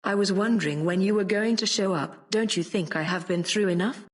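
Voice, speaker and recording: A woman's voice: medium pitch, a little fast, close to an everyday voice. A woman playing a wry, weary officer with a dry, complaining manner in an audio drama. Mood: annoyed.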